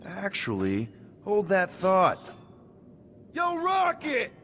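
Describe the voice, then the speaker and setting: An actor playing an easygoing, friendly spaceship crew leader in a video game, speaking in casual, rambling slang. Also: male voice, high voice, huge pitch swings, takes it slowly, a bit bright, a little hiss or hum, full character voice. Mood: curious, awkward.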